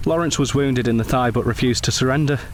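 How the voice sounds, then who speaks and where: An actor plays a gentlemanly Edwardian veteran in a radio play, kindly and quietly wounded. His medium-pitched voice is polite and understated, with a gently teasing edge. A light bed of ambient noise sits behind him. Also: fast, close to an everyday voice. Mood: guarded, wry. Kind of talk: scripted radio drama dialogue.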